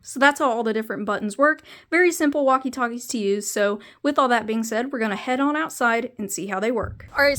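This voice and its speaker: A woman with a slightly high voice narrates a hands-on product review. She speaks as a reviewer addressing viewers, explaining each point step by step. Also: fairly bright tone, a little hiss or hum.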